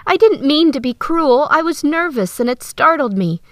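A man voicing a young noble girl who speaks flatly and plainly, in an audiobook. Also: very high voice, moderate pace, dry recording, voice pushed up. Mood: earnest. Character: blunt, nervous teenage noble girl. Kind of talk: audiobook character voice.

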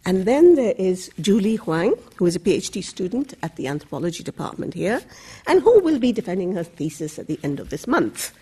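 A woman from Bangladesh chairing a public panel discussion, giving formal introductions in a measured way with occasional fillers. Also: mid-range voice, big pitch swings, dark tone, slightly rough.

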